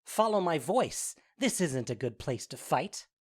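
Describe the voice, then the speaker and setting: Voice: mid-range voice, big pitch swings, unhurried, breathy edge. Mischievous, swooping goblin sprite. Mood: taunting. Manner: taunting, theatrical, playful. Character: Puck, mischievous goblin sprite serving the fairy lord. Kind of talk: audio drama acting of modernized Shakespeare.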